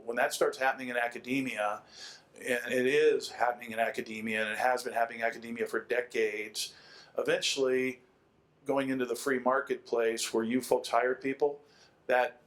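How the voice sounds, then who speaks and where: A man's voice: medium pitch, fairly bright tone, poor audio. A man, likely middle-aged, speaking as a panelist, making an extended and opinionated argument and repeating key phrases for emphasis.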